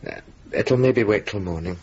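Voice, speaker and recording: An actor playing a mild young RAF officer with clipped, understated, quietly droll replies, in naturalistic radio drama style. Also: male voice, lowish voice, moderate pace, husky. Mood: subdued. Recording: muffled, noisy old radio broadcast.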